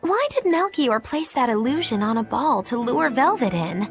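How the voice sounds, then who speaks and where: A woman's voice: sits high, very animated, moderate pace, over music. An actress voicing a playful, theatrical young witch in game dialogue, teasing and sly in her delivery. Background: game score.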